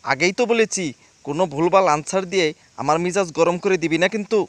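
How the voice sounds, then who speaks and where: Man as a very high-pitched exasperated schoolteacher. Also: fairly quick, no room sound, natural voice. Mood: stern. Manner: scolding, theatrical. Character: exasperated, stern male schoolteacher, easily flustered. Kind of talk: comedy cartoon dialogue.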